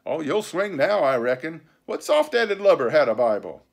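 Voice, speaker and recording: A scornful, commanding pirate captain from a sea-adventure storybook. The voice rides high and swoops widely, full of sly sarcasm and blustering, salty sailor talk. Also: male voice, very animated, normal speed, exaggerated voice. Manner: rough nautical slang, sarcastic, blustering speechifying.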